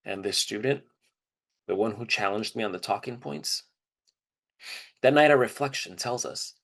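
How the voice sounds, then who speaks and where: A man's voice: fairly low pitch, normal speed, gravelly, fairly bright tone. A man delivering an informal, reflective first-person monologue in a conversational storytelling style. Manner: informal, reflective, acts out quoted dialogue. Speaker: performer of a dramatized first-person narrative.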